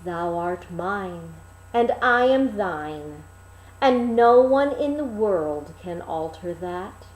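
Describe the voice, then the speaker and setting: A woman's voice: on the low side, lively intonation, takes it slowly, a little mellow, voice pushed down. A woman voicing a stern, solemn fairy-tale king with declamatory, old-fashioned grandeur, in a roomy space. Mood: solemn, tender. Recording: roomy, echoing room.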